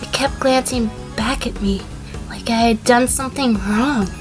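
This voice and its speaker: Girl playing guarded sheep defendant, highish voice, evasive courtroom testimony, music behind. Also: unhurried, lightly acted. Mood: explaining. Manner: guarded, careful explaining. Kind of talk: fan audio drama acting, courtroom testimony.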